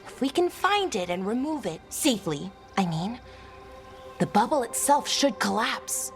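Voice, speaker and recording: An eager young female elf scout from a fantasy game. Her voice is high and very animated, excitable and a little naive, with music playing underneath. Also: unhurried. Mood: earnest. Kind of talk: video game character acting.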